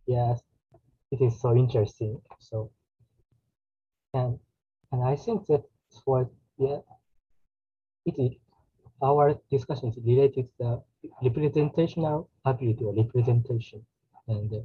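A man speaks in an academic discussion in a measured way, pausing as he searches for words. His voice is husky. The sound is dry and dull, with a lo-fi quality. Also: medium pitch, no echo. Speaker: podcast co-host.

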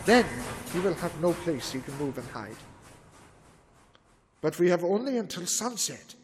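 An actor playing a commanding elderly professor who urges his companions on in a radio drama, with a husky tone and long reverb. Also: a little high, very animated, unhurried, slight background noise. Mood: grave. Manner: urgent, commanding, rallying. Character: commanding elderly male professor, vampire hunter, urgent.

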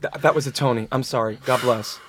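A man's voice: medium pitch, fast, fairly bright tone, a little hiss or hum. A man who is a guest singer in a studio chat, playful, teasing and enthusiastic. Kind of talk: casual chat.

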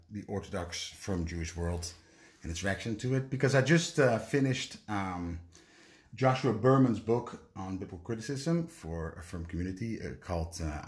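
A man from the Netherlands with a fairly low voice hosts a relaxed discussion podcast. He talks casually and a little haltingly, feeling his way through his sentences. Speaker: podcast host.

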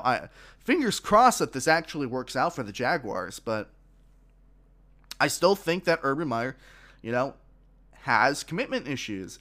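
A man's voice: fairly high pitch, a bit bright. A man hosting his own sports podcast, giving opinions with many fillers and restarts, a little congested from a frog in his throat.

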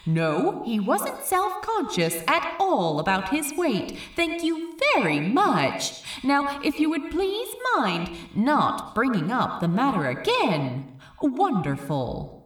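A woman voicing an anxious, gentle, fussy male angel in a read-aloud story, with a polite, hesitant manner. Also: on the high side, huge pitch swings, slow pace, roomy sound, distant mic, heavily acted. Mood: huffy.

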